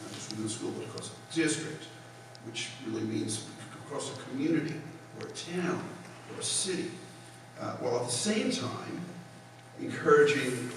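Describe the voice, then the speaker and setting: A man's voice: medium pitch, noisy background. A man moderating a panel, framing an academic question for the speakers. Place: school hall. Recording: echoey hall, distant mic.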